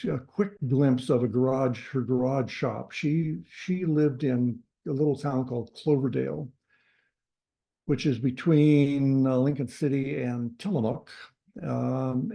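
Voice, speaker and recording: A man's voice: mid-range voice, creaky, mellow. A man presenting to his carving club over a video call, talking loosely with many fillers and restarts.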